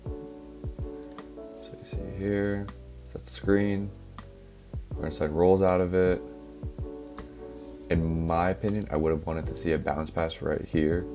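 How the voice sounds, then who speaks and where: A young man, a little hoarse from a cough, casually breaking down basketball plays with lots of fillers. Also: low-pitched, slow pace, pauses often. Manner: relaxed, filler-heavy, explaining step by step. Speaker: basketball analysis creator. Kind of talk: casual play-by-play film breakdown. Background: background music.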